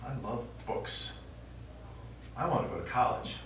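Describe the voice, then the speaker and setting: An actor playing an elderly, stubborn widower and war veteran, reminiscing in a wry, rambling way in a stage play. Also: male voice, mid-range voice, expressive, a little slow, lightly acted. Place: small theatre stage, recorded from the audience. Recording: echoey small theatre, distant mic, faint noise.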